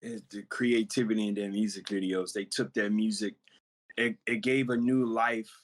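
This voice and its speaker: A man's voice: lowish voice, normal speed, a crowd in the background. Laid-back man rapper talking shop. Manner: relaxed, explains with many "you know what I'm saying". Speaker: rapper guest. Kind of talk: casual interview talk.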